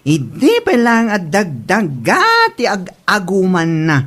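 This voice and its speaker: A woman's voice: medium pitch, wildly swooping pitch, slow, fairly bright tone, full character voice. A woman acting a concerned, indignant daughter with an Ilocano accent in a comedy drama, speaking expressively. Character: concerned, indignant daughter standing up for her father.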